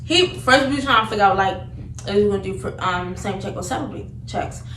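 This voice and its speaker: A young woman tells a story in a casual, animated way. Her talk is slangy and loops back on itself as she retells things. She is a little far from the mic in an echoey room, with clear background noise. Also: mid-range voice, fairly quick. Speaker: vlogger telling a story. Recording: roomy, distant mic, noticeable background noise.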